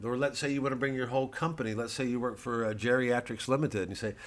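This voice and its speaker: A man's voice: medium pitch, steady tone, fast. A man with an American accent talking casually and wryly to viewers, rambling and understated, as a comedian plugging a show. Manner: understated, wry, digressive. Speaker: comedian promoting a live show.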